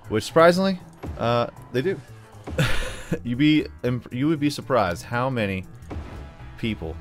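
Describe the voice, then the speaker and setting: A man's voice: mid-range voice, animated, unhurried. An American man giving casual gameplay commentary, playful and rambling as he thinks aloud. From United States. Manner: playful, often slips into put-on drawls. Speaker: gaming video host. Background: background music.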